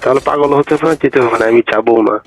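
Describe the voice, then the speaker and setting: A man's voice: mid-range voice, racing. A man with a Bangladeshi accent chatting intimately and casually on the phone, teasing and coaxing as he talks. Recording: noisy phone call recording, clicks.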